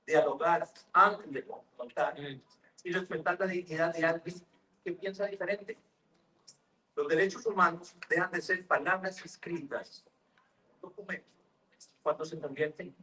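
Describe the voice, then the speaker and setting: A man giving a formal conference speech to young people, measured and unhurried with occasional hesitant pauses. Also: fairly high pitch, pushed and bright. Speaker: conference speaker. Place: conference hall. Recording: distant mic with faint background noise.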